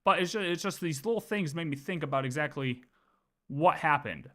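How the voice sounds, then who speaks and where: Bright-voiced man thinking aloud on stream. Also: fairly high pitch, lively intonation, brisk. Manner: hesitant, repeats words while thinking aloud. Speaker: livestreamer. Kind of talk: casual gaming lore commentary.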